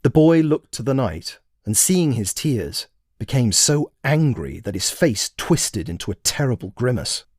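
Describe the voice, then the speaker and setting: A man's voice: mid-range voice, very animated, a little slow, darkish tone, no room sound, clean studio sound. A man narrating a story expressively, in the manner of a storybook reading. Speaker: author and narrator.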